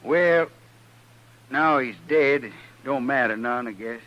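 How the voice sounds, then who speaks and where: An actor playing a plain-spoken, chastened cattle drover with a rural western American drawl, folksy and sheepish, in old-time radio style. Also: male voice, medium pitch, slow pace, highs cut off, natural voice. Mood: resigned. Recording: noisy, humming vintage radio recording.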